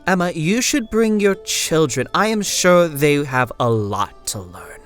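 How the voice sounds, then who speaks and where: Man voicing a zealous female evangelist, raised pitch. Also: expressive, a little slow, fairly bright tone, faint music behind. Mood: eager, insistent. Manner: insistent, fervent, preachy. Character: bright-eyed, zealous woman evangelist pressing for converts. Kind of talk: horror audio drama character voice.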